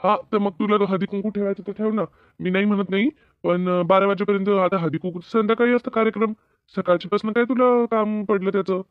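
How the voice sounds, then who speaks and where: A man's voice: sits high, fairly even intonation, quick pace, clean tone, no echo, natural voice. A man playing a bossy, practical farmer husband in a comedy cartoon, insistent and colloquial, with a rural Maharashtrian accent. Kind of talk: animated comedy cartoon dialogue. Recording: narrow phone-like band, dry.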